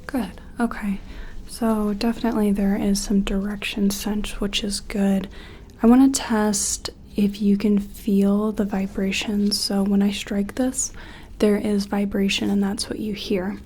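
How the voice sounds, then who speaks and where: A woman playing a gentle, curious sci-fi examiner in an ASMR role-play, soft-spoken and slipping in and out of a soothing whisper. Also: mid-range voice, little pitch movement, a little slow, husky.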